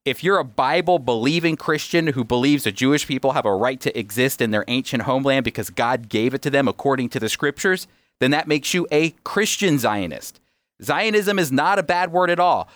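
Man, American accent, medium pitch, explanatory radio teaching, emphatic phrasing, very dry room. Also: lively intonation, a little fast, fairly bright tone, dry recording. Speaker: radio host and Bible teacher.

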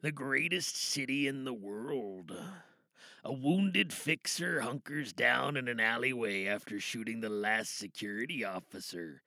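A man voicing a weary, cynical fixer in a dystopian audio drama monologue, brooding and deadpan with a gravelly voice. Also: medium pitch, a little slow, no echo, pitched below the performer's own voice.

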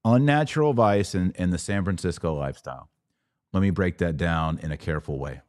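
A man's voice: sits low, animated, brisk, darkish tone, no room sound, clean studio sound. A middle-aged man hosting a podcast, delivering religious commentary in an emphatic, preachy yet conversational way. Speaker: podcast host.

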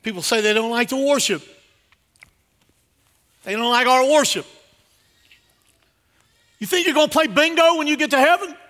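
High-pitched, bright-voiced male preacher. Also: moderate pace, pauses often. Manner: exhortative, emphatic, with repeated phrases; swings between hushed asides and loud declarations. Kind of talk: sermon to a congregation.

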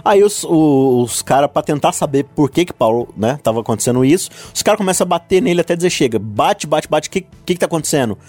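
A man's voice: fairly high pitch, fast, few pauses, faint music behind. A man co-hosting a casual Bible discussion podcast, joking and acting out lines from the story. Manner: jokey, animated, acts out quotes. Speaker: podcast co-host.

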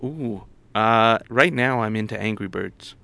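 A man with a fairly low voice and plenty of creak speaks as an interview guest. He answers in a casual, conversational style. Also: a little slow, pushed and bright, dry recording. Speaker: interview guest, digital arts college head. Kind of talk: casual chat, short thoughtful answers.

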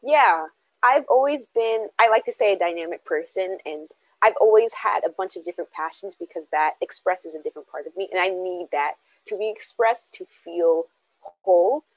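A young woman speaks in a notably low voice, earnest and a little halting, with ums and restarts as she answers. The sound is dry and close. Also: no room sound, low-quality recording. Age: young adult. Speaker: interview guest. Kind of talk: casual interview answers.

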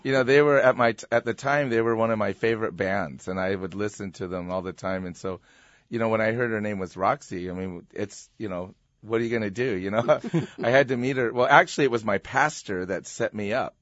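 Lower-voiced man telling his story, chuckling. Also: brisk, no room sound. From USA. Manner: relaxed, chuckling, conversational. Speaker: pastor, interview guest. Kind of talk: personal storytelling. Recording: muffled, dry radio studio sound.

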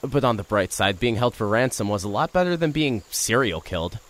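A man's voice: fairly low pitch, animated, a little fast, fairly bright tone. A man narrating an audio drama in the first person and playing a nervous reporter, with an earnest, wry delivery.

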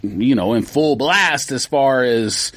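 A man in his forties chatting on a podcast, meandering through his recollections with restarts and fillers. His voice sits at an ordinary middle pitch, and the recording is dry with little room sound. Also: animated, takes it slowly, a bit bright, no room sound. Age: 40s. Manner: rambling, with many restarts and fillers. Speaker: podcast host. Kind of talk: casual chat.

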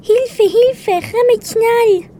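A woman's voice: very high voice, drawn-out words, dark tone, a little hiss or hum, exaggerated voice. A teenage girl playing a small, frightened but loyal blue fish in an amateur school radio play. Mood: panicked.

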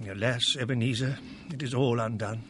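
An actor playing a kindly, sorrowful elderly merchant, earnest and theatrical in a radio drama. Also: male voice, medium pitch, fairly even intonation, normal speed, fairly bright tone, a little hiss or hum, close to an everyday voice. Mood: wistful, defeated. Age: older. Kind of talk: family radio drama acting.

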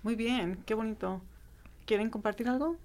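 A woman's voice: fairly high pitch, moderate pace, darkish tone, slight background noise. A woman moderating a group discussion. She asks questions hesitantly, with many filler sounds.

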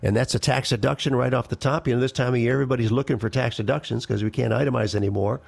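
A man co-hosting a radio finance show, giving emphatic, quick advice about saving for retirement. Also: medium pitch, fairly even intonation, vocal fry, no room sound. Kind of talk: call-in radio finance talk.

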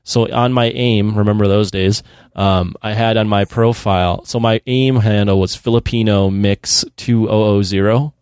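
A man's voice: lowish voice, steady tone, normal speed, darkish tone, smooth, no room sound, studio quality. A man in his thirties hosting a podcast, laid-back and chatty, laughing often. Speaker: podcast host. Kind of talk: casual chat.

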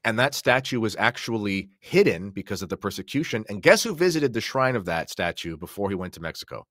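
A man with a deep voice talks religion as a podcast guest. He explains with emphasis and poses questions to drive his points along. The sound is dry, with very little room in it. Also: very animated, fairly quick, fairly bright tone, no room sound. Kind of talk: impassioned religious discussion.

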